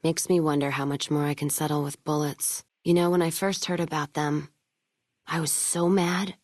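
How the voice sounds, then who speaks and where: A woman's voice: low voice, steady tone, moderate pace, darkish tone, dry recording, clean studio sound. A woman playing a tough, foul-mouthed, vengeful caravan trader in a video game, talking in a blunt, folksy, wry way. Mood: grimly satisfied. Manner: blunt, folksy, wry drawl of plain talk. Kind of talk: video game dialogue acting.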